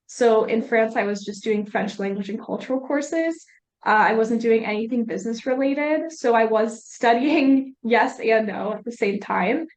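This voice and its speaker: A young woman student speaking on a study abroad panel in a relaxed, conversational way. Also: on the high side, normal speed, low-quality recording. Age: young adult. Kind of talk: panel discussion.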